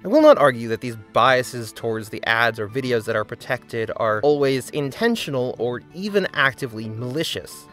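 A man's voice: medium pitch, a bit bright. A man narrating a scripted video essay in an earnest, critical tone.

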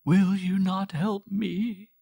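A man voicing an anguished French mother who pleads in slow, breathy, strained whispers. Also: on the high side, darkish tone, no room sound, studio quality, voice pushed up, exaggerated voice. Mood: desperate pleading.

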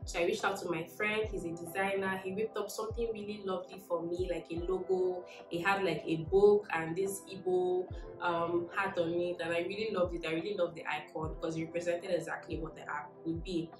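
A woman's voice: lowish voice, fairly even intonation, fairly quick, roomy sound, music in the background, poor audio. A young woman from Nigeria telling her story to camera in a casual, upbeat, encouraging way, sitting in a living room. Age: young adult. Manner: upbeat, encouraging, gestures while talking. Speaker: tech vlogger.

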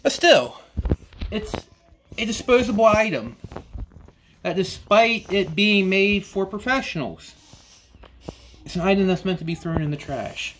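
A man in his forties talks through a tool review in a cluttered workshop. His voice sits a little high, and he speaks slowly and loosely, doubling back on his words. The mic sits close, as if clipped to his body. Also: lively intonation, fairly bright tone, slight background noise, low-quality recording. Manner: slow, rambling, with frequent restarts and "you know". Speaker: woodworking channel host. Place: cluttered indoor workshop or basement.

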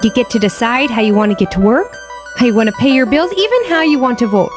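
A woman as highish polished corporate spokeswoman. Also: expressive, quick pace, over music, natural voice. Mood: earnest. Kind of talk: scripted commercial voice-over.

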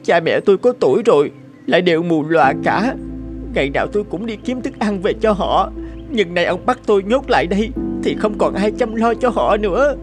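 A woman voicing a small, gentle bird in an animated folk tale, with a plaintive, pleading manner. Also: medium pitch, a little fast, close to an everyday voice. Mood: sorrowful, reproachful. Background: music behind the voice.

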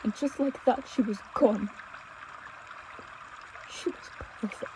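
Casting: woman as devoted young runaway pastor's daughter, audio drama; slightly high, tender, emotive voice over faint water ambience. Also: slow pace, dark tone, slight background noise, poor audio. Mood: grieving. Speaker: audio roleplay performer. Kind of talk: intimate dramatic audio roleplay acting. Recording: nature ambience with trickling stream water. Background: outdoor ambience sound effects, running water.

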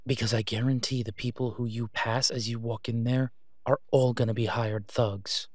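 A man playing an exasperated, protective vigilante in a phone-call audio roleplay, speaking urgently and emphatically, with pleading pauses. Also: medium pitch, fairly even intonation, no room sound, studio quality, close to an everyday voice. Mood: exasperated, warning. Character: exasperated, protective masked male vigilante on patrol.

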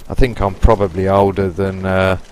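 A man's voice: deep voice, little pitch movement, normal speed, a little mellow, a little hiss or hum. A man in his late forties with a Lancashire accent, chatting casually and rambling cheekily over his own video game footage. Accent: Lancashire English. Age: 40s. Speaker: gaming YouTuber. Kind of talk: casual gameplay commentary.

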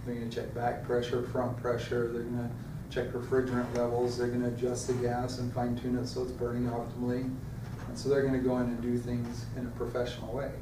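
A man's voice: medium pitch, completely flat delivery, a little fast, hardly any pauses. A middle-aged man giving a sales training lesson at a whiteboard, explaining plainly and answering trainees' questions. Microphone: far camera. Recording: echoey room from far off, noisy.

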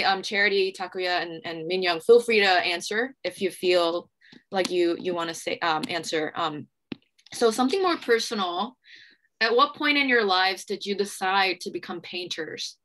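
A woman's voice: medium pitch, moderate pace, pushed and bright, poor audio. A young woman from Hong Kong hosting an artist talk on a video call, speaking in a hesitant, self-deprecating way. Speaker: moderator. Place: small room at home.

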